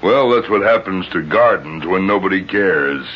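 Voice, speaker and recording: An actor playing an ugly, menacing giant who drives ominous bargains, in 1970s radio drama style. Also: male voice, sits low, lively intonation. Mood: ominous, sly. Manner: slow, ominous, deliberate. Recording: dull, noisy old radio broadcast recording.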